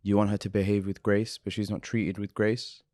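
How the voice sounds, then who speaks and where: A man's voice: on the low side, level tone, moderate pace, darkish tone, no echo, studio quality. A man co-hosting a podcast, talking earnestly in casual chat with lots of fillers and restarts. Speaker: podcast co-host.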